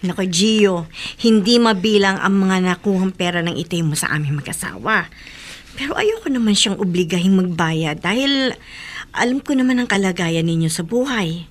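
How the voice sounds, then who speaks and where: A woman's voice: medium pitch, lively intonation, normal speed, close to an everyday voice. A woman playing a middle-aged aunt in a Filipino radio drama, kindly but fed up and chiding. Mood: exasperated, firm.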